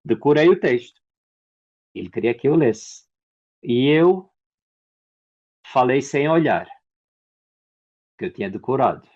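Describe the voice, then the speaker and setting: A man from Angola with an Angolan Portuguese accent, an actor and playwright answering interview questions in a lively storytelling way. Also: mid-range voice, a little slow, pauses often, dull audio. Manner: animated storyteller, acts out quoted dialogue.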